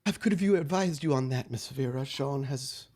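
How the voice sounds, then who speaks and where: A man voicing a deferential servant and driver, soft-spoken, polite and quietly wry, in a tabletop game. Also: mid-range voice, moderate pace, close to an everyday voice. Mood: dryly reproachful.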